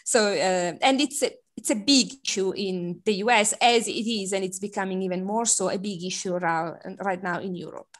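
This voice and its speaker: A woman's voice: medium pitch, moderate pace, few pauses. Woman hosting over headset, chatty. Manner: chatty, hesitant with many fillers. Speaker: seminar host. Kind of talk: introducing a speaker on a video call. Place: home room by shuttered window.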